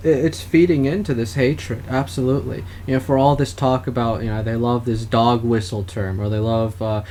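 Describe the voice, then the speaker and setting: A man with a mid-pitched voice hosts an opinionated political talk podcast. His phrasing is formal and wordy, with a halting delivery. Clear ambient noise sits behind his voice. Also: fairly even intonation, mellow. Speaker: podcast host. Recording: clearly noisy background.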